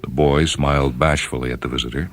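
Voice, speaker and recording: An extremely deep male voice narrates fiction as a host and reader. The delivery is deliberate and measured, a scripted dramatic reading with music clearly running underneath. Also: steady tone, a bit bright.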